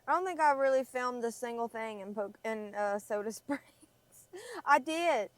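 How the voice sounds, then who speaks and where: A woman's voice: on the high side, measured pace. A woman, chatting casually and laughing easily in a parked car. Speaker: travel vlogger. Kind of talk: casual chat.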